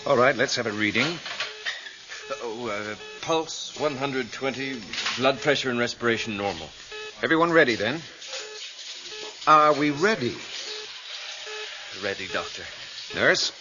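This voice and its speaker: A man's voice: medium pitch, expressive, measured pace, pauses often, close to an everyday voice. A man playing a grave surgeon lecturing medical students in a radio drama, clinical and declamatory. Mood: clinical, instructive. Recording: muffled old radio recording, music behind.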